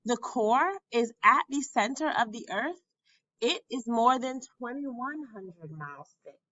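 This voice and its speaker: Slow, bright-voiced woman reading aloud. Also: fairly high pitch, animated, no room sound, dull audio. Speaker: school staff member reading a textbook aloud. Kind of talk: read-aloud narration of a children's science book.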